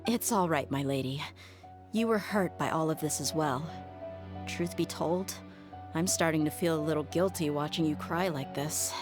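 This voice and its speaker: Low-voiced, world-weary young mercenary played by a woman. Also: moderate pace, over music, lightly acted. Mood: gentle, resigned. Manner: earnest, frank. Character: young tough female mercenary, sincere, world-weary, reflective. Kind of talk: video game voice acting.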